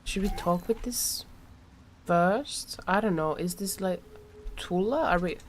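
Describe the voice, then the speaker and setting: A woman's voice: lowish voice, slow, a little hiss or hum. A woman doing casual live game commentary, musing aloud and often muttering to herself. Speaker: game streamer.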